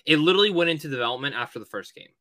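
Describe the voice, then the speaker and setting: A man's voice: on the high side, expressive, very fast, sharp tone, dry recording, studio quality. A man co-hosting a podcast, giving emphatic opinions in casual movie talk. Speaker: podcast co-host.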